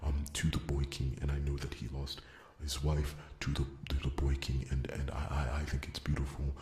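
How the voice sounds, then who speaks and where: A man playing a gentle, earnest dwarf blacksmith in an ASMR fantasy roleplay, stammering deferentially in a hushed half-whisper. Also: very deep voice, monotone. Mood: tender, earnest. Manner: halting, stammering, deferential, repeats his words. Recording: roomy echo, faint background noise.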